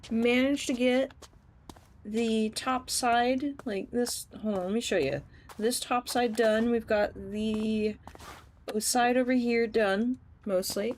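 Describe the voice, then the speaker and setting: Sleepy rough-voiced woman streaming a game, talks slow, voice bounces all over, lots of giggling. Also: fairly high pitch, a little hiss or hum. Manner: chatty, giggly, talks to chat while playing. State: rough, tired morning voice. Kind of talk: casual gaming livestream chat.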